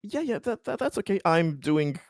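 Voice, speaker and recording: Higher-voiced man playing a tabletop game. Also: big pitch swings, normal speed. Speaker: tabletop RPG player. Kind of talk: casual game chat.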